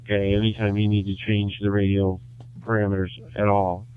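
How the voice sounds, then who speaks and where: A man calling in on a ham radio net, chatting casually in a halting way with many fillers and restarts. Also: on the low side, little pitch movement, clear, resonant tone. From Michigan, USA. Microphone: handheld radio transmitter. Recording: tinny two-way radio audio.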